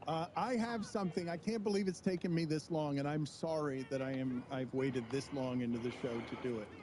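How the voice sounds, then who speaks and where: A male sports talk show host who builds up hype with theatrical flourishes. His voice sits somewhat high. A steady noisy hiss runs under the studio recording. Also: a little fast.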